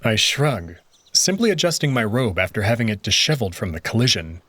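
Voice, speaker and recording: A man narrating a visual novel in first person with an expressive, conversational delivery. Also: lowish voice, brisk, husky, no room sound.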